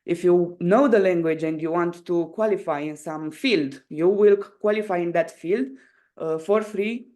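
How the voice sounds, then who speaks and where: A woman's voice: fairly low pitch, unhurried, dark tone. A woman in her twenties from Romania with a Romanian accent, answering interview questions casually and hesitantly, searching for words in her non-native English. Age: 20s. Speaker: podcast guest.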